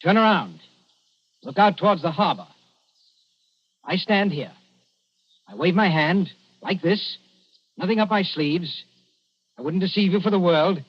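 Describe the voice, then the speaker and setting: Swaggering trickster showman, coaxing patter, somewhat high voice, muffled 1940s radio drama. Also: animated, a little slow, long pauses. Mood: showy. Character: boastful man showing off a magic trick.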